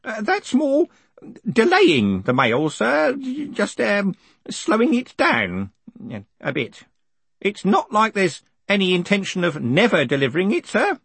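A man's voice: high-pitched, huge pitch swings, measured pace, gravelly, fairly bright tone, breathy edge, dry recording, pitched above the performer's own voice, heavily acted. A man voicing a fussy, deferential old postal worker in an audiobook, earnest and insistent. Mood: worried, evasive. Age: older. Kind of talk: audiobook character acting.

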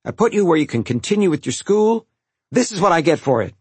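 Man acting out a worn-out mother, pitched up. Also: animated, moderate pace, husky, dry recording. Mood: hurt, exasperated. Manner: pleading, sighing, snapping into yells. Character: worn-out, exasperated mother scolding her quarrelling sons.

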